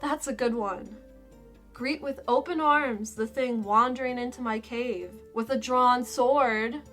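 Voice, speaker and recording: A woman's voice: fairly high pitch, a little slow, a bit bright, soft background music. A woman playing a sarcastic, irritable young female dragon warrior in a fan fiction audio drama, snide and impatient. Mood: sarcastic scorn.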